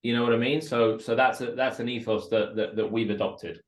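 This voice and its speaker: A man's voice: mid-range voice, steady tone, a little fast. A man, a Russian speaker, speaking as an entrepreneur guest in a casual interview. He talks with energy and often repeats words as he builds his points. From Russia, raised in West London. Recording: roomy echo.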